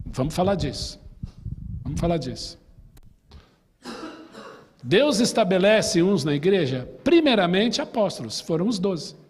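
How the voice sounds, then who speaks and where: A man's voice: sits high, big pitch swings, measured pace, pauses often, a little hiss or hum. A man with a Brazilian Portuguese accent, a pastor teaching a Sunday school class in a chatty way, putting questions to the class and telling personal stories. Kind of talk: conversational Bible class teaching. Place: church hall. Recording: long reverb in a church hall.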